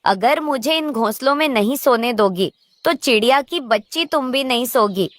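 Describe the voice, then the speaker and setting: A woman's voice: fairly high pitch, animated, normal speed, dry recording, close to an everyday voice. A woman voicing a brash, spiteful female crow in a children's cartoon, whining and scornful. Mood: scornful, angry. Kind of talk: Hindi children's cartoon voice acting.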